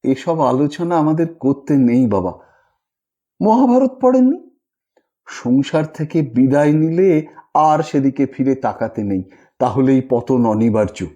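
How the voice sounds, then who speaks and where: A man voicing a gentle, wise, melancholy female wandering ascetic in a story, calm and softly admonishing. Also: mid-range voice, a little slow, muffled recording, voice pushed down, close to an everyday voice. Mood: subdued, sorrowful.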